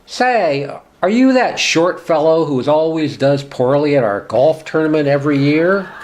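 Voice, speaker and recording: Dithering, animated male boss played by an actor. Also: a little high, unhurried. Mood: befuddled, genial. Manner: dithering, hesitant, repeating himself. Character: forgetful, vague, genial male law-firm boss. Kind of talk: sitcom-style radio play dialogue.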